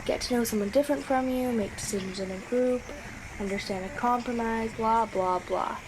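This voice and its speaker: A woman's voice: on the high side, normal speed, lightly acted. A woman playing a plucky young scout girl who chats to herself in an audio drama. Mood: puzzled, mildly frustrated. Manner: chatty, thinking aloud. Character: plucky young scout girl working through her badges. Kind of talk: radio drama monologue. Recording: clearly noisy, ambient background noise.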